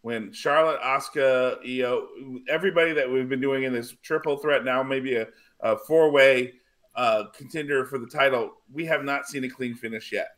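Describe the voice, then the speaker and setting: A man with an average-pitched voice co-hosts a casual panel show. He speaks in a halting, conversational manner, circling back and repeating words as he makes his point. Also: fairly bright tone. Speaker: wrestling podcast host.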